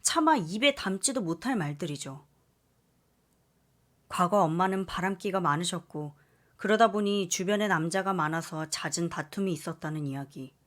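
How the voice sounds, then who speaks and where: Woman storyteller, lowish voice, reads a script with lots of feeling. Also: moderate pace, a bit bright. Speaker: story narrator. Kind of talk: scripted first-person story narration.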